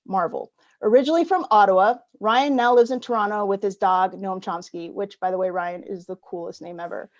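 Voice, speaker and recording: A woman from an embassy reading prepared welcome remarks with a measured, formal delivery, heard over a video call. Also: medium pitch, dull audio. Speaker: embassy representative opening the event.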